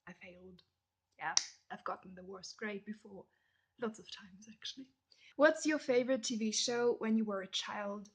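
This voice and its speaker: Young German woman with a gravelly voice. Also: mid-range voice, normal speed. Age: young adult. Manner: chatty, drops into soft whispered asides. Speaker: vlogger. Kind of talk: casual question-and-answer tag video.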